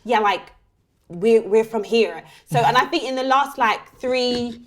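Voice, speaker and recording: A woman's voice: on the high side, measured pace. A young woman from London co-hosting a casual talk show, frank and chatty, acting out other people's lines as she talks. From United Kingdom. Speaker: co-host. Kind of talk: casual chat.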